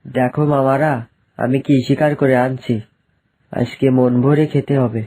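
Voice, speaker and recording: A man's voice: medium pitch, little pitch movement, measured pace, warm tone. A man voicing a greedy, bloodthirsty hyena in a children's cartoon. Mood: gloating. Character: greedy, bloodthirsty male hyena who hunts young animals.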